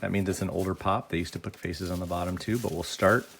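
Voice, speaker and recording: A man with a cold talks in a very low, gravelly voice. He chats plainly as he unboxes and grades toys, and faint noise sits under the recording. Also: fairly even intonation, fast. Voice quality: deeper than usual, gravelly. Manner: plain, chatty, grading each item out loud. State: has a cold. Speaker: toy unboxing vlogger. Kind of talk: casual unboxing commentary.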